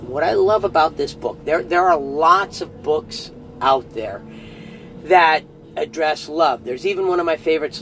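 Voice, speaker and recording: A man's voice: medium pitch, a bit bright, a little hiss or hum, rough recording. A middle-aged man giving a casual, earnest spoken review, chatty and often restarting phrases. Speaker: audiobook reviewer.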